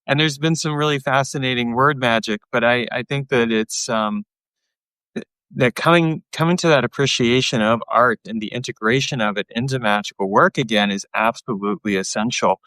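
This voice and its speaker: A man hosting a podcast interview, talking thoughtfully and haltingly, often repeating words as he searches for them. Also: mid-range voice, sharp tone, no room sound, poor audio. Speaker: podcast host. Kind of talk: casual interview talk.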